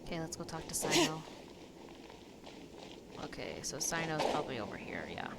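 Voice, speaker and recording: A woman's voice: sits low, big pitch swings, normal speed, gravelly, very noisy. A woman giving casual, off-the-cuff commentary as she streams a video game.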